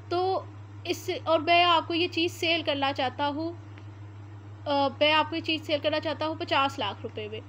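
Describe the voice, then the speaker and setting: A woman with a Pakistani Urdu accent giving an explanatory law lecture, reading English statute text slowly word by word with frequent "uh" fillers. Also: extremely high pitch, a bit bright, slight background noise.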